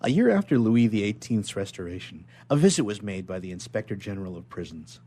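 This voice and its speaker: A man's voice: fairly low pitch, animated, brisk, dark tone. A man appearing as a radio guest, chatting informally and reading literary prose aloud. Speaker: guest reader on a radio show.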